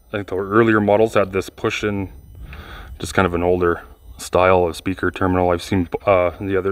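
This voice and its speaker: A man giving casual hands-on video commentary, informal and rambling as he thinks aloud. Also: fairly low pitch. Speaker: vlogger restoring old speakers.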